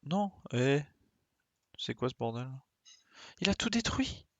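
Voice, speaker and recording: Gravelly man muttering through gaming commentary. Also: mid-range voice, sing-song, slow, fairly bright tone, no echo. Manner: colloquial, mild swearing, muttering to himself. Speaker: streamer playing a video game. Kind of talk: casual gaming commentary, thinking aloud.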